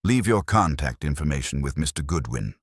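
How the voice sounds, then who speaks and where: A man voicing a gruff, haughty, irritable genius detective in an audiobook, with clipped, pompous, formal speech. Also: a bass voice, big pitch swings, fairly quick, dry recording, studio quality, heavily acted. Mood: imperious.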